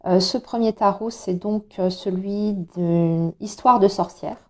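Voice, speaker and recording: A woman with a slight cold and a bit of hoarseness in her throat, giving a monthly tarot reading in a hesitant, explanatory way with many filler sounds. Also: mid-range voice, unhurried. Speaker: tarot reader.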